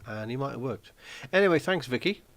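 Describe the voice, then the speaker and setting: A man hosting a live stream from his small flat, chatting casually and rambling with lots of ums and restarts. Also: mid-range voice, brisk. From Wales.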